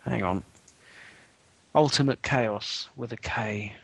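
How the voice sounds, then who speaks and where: A man chats casually on a podcast, full of fillers and wry asides. His voice sits at a middle pitch, recorded close and dry with no room sound. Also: slow pace, creaky, no room sound. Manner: chatty, wry storytelling with many fillers. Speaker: podcast co-host. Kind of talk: casual chat.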